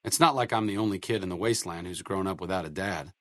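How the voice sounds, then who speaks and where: An actor playing an idealistic, self-deprecating young wasteland doctor in a video game, speaking in an articulate, conversational way with dry wit. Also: male voice, low-pitched, fast talker, fairly bright tone, no echo. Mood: wistful, reflective. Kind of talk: video game dialogue acting.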